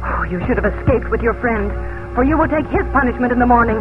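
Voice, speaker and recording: An actress playing a proud, commanding colonial widow in a 1950s radio drama. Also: female voice, on the high side, fast talker, close to an everyday voice. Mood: indignant, commanding. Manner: imperious, dramatic. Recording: narrow vintage radio recording, music behind.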